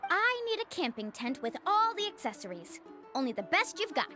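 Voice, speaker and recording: Upbeat young game tour guide girl, high lively voice, friendly n confident, music behind. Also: normal speed. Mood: breezy. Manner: breezy, assured. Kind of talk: video game voice acting.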